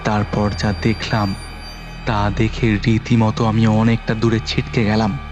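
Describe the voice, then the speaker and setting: A man's voice: on the low side, level tone, a little slow, lo-fi sound. A man narrating a horror audio story in the first person, with a suspenseful, dramatic delivery. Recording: voice over background music.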